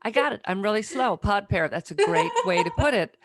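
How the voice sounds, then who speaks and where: A woman interviewing casually as host, halting and repeating herself, with a self-deprecating way of talking. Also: medium pitch, expressive, heavy background noise.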